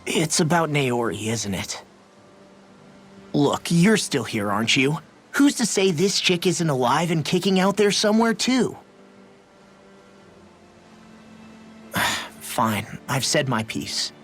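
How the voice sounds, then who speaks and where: Brash young sidekick for anime game; high, swooping voice, blunt colloquial delivery. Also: male voice, sing-song, unhurried, a bit bright, slight background noise. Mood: probing. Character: brash young male companion, blunt but loyal. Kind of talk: anime video game dub acting. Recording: game dialogue over background music.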